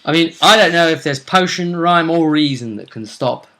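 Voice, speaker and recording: A man co-hosting a gaming vidcast, giving a casual, measured recap and commentary in slightly formal wording. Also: on the high side, sharp tone. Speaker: co-host of a tabletop roleplaying vidcast.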